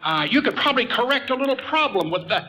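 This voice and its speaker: A man's voice: very high-pitched, sing-song, fast talker, pushed and bright, heavily acted. An actor playing a chatty, wily old male vaudeville comedian in a theatrical 1940s radio comedy. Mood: sly, chummy. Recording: live radio play, faint music.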